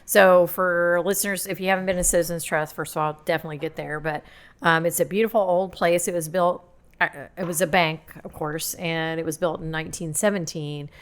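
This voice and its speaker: A woman's voice: on the low side, fairly even intonation, brisk. A woman hosting a casual podcast interview, reacting with upbeat enthusiasm. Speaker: podcast host.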